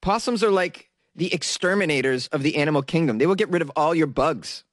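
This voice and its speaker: Male host, casual news riffs, sarcastic and rambling, slightly high voice, dead-dry sound. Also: expressive, a little fast, no echo. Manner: sarcastic, rambling, jokey asides to viewers. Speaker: solo news commentary host. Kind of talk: casual commentary reading news articles.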